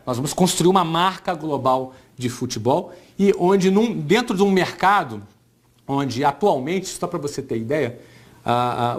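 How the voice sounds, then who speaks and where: A man in his forties with a Brazilian Portuguese accent, giving an informal business talk as an entrepreneur, conversational and often checking in with his listeners. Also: on the high side. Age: 40s.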